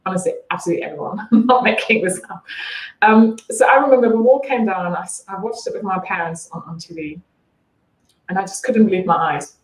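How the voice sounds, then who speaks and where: A woman's voice: medium pitch, a little fast. A woman from East Germany in her forties speaks English with a German accent. She chats as a guest and laughs between her stories. Her voice carries an echo from the room she calls in from. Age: 40s. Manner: chatty, laughs often. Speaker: guest, branding coach. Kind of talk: casual interview chat.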